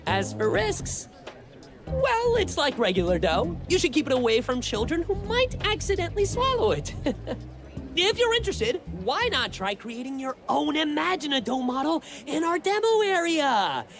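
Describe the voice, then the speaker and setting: A woman's voice: high voice, very animated, moderate pace. A woman playing a chirpy young toy shop clerk in an anime-style game, with effusive sales patter. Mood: reassuring, upbeat. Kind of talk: animated anime game voice acting. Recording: music behind the voice.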